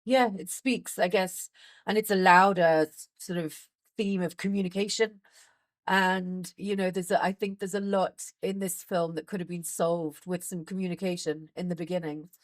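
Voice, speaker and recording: A woman's voice: on the low side, steady tone, moderate pace. Woman interviewer asking engaged questions.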